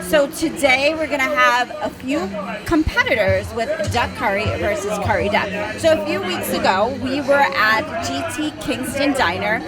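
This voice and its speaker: A woman hosting her show outdoors in a backyard, chatty and upbeat as she interviews a guest. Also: sits high. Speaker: host. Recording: loud music and crowd behind.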